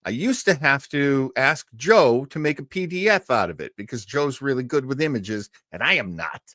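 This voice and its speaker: A man's voice: medium pitch, animated, normal speed, fairly bright tone, no room sound, clean studio sound. A man hosting an old-time radio livestream in a casual, rambling, jokey way, chatting to his viewers. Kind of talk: casual livestream chat and introduction.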